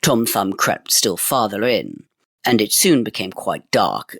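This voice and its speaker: A man's voice: on the low side, very animated, unhurried, gravelly, fairly bright tone, dry recording, clean studio sound. A man reading a fairy tale aloud in a dramatic, old-fashioned storytelling manner.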